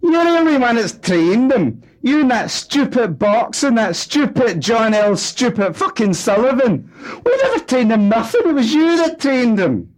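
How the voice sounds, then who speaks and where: A man voicing a loud, scornful middle-aged wife who screeches back in a very high voice. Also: very animated, measured pace, hardly any pauses, rough recording.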